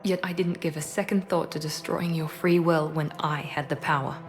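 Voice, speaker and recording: A woman's voice: low voice, fairly even intonation, normal speed, soft background music, natural voice. A woman playing a proud, guarded secret operative in a video game, speaking in a serious, controlled and earnest way. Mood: confessional, regretful. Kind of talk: cinematic video game acting.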